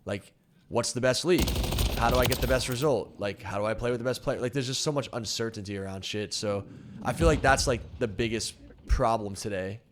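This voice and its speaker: A man's voice: medium pitch, fairly quick, background noise. A young man streaming while he plays a game, chatting loosely and frankly with lots of "like" and some swearing. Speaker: streamer and pro team coach. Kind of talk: casual chat while gaming on stream.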